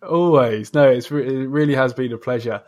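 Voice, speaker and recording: A man hosts an interview show in a casual, chatty style. His pitch sits in the middle for a man, and his manner is effusive and quick to agree. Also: a little mellow. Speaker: podcast host and interviewer.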